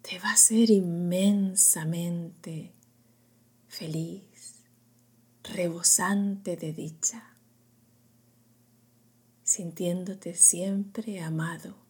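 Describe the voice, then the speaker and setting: A woman guiding a meditation in a soft, whispery, soothing voice, in short hushed phrases with long pauses. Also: fairly low pitch, steady tone. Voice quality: breathy, whispery. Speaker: meditation guide.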